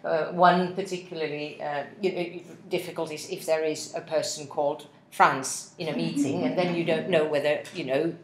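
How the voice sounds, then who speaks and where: A woman's voice: deep voice, fairly even intonation, normal speed, hardly any pauses, roomy sound, slight background noise, rough recording. A woman in her forties, a native Finnish speaker, giving a practice speech to interpreters with many hesitations and spelled-out words, recorded on a laptop webcam in an office room. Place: office meeting room with window blinds.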